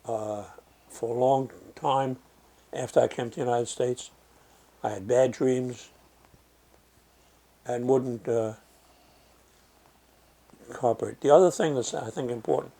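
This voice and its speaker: An older man from Germany, speaking slowly and reflectively in an oral history interview, with frequent pauses and fillers. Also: medium pitch, fairly even intonation, warm tone.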